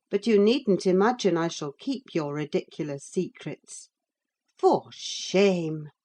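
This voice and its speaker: A woman's voice: mid-range voice, warm tone. A woman doing a scornful, scolding housekeeper. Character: stern older female housekeeper, scolding and scornful.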